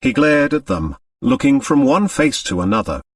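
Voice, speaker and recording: A man's voice: medium pitch, sing-song, normal speed, no echo, far from the mic. A man reading a mystery novel as scripted, dramatic audiobook narration.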